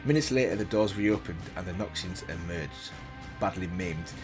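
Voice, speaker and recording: A man's voice: low-pitched, normal speed. A man reading a text aloud as a reaction video host, breaking off for casual comments of his own. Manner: casual asides while reading. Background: loud background music.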